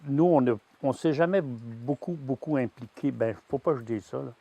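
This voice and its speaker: A man's voice: medium pitch, moderate pace, gravelly, warm tone, no echo, highs cut off. Hesitant Québécois farmer in his sixties. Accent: Québécois French. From Quebec. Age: 60s. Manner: hesitant, many "euh" fillers and restarts. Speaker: grain-fed veal farmer. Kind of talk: personal testimony.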